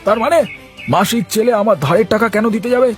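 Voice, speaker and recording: A man's voice: high-pitched, quick pace, soft background music, close to an everyday voice. A man voicing a poor, lazy village husband in a cartoon, whining and protesting like a boastful idler. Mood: offended. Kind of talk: Bengali cartoon dialogue dubbing.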